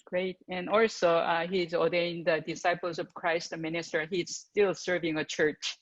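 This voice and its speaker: A woman's voice: on the low side, level tone, unhurried, no echo. Older woman moderator, dull dry sound. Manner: introduces speakers, brief and hesitant. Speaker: dean and moderator. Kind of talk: moderating a panel on a video call. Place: home living room with pictures. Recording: dull, dry webcam audio.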